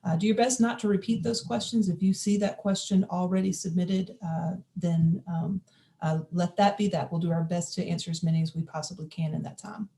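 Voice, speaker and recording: A woman's voice: lowish voice, fairly even intonation, mellow. A woman organizing an online forum, giving housekeeping announcements with many hesitations. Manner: halting, many uh fillers.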